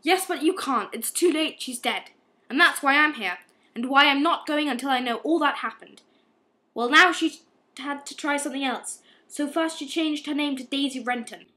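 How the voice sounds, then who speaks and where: A woman playing a stern, insistent police inspector with a measured, firm delivery in an amateur radio play. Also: high voice, pushed and bright. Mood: accusing.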